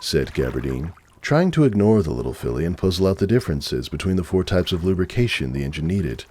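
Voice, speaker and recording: A man narrating a story as a dramatic scripted reading, measured and expressive. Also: low-pitched.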